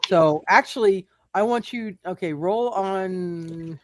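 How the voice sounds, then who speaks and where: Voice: mid-range voice, slow. A game master narrating a tabletop roleplay casually, often repeating words and phrases while working out what happens.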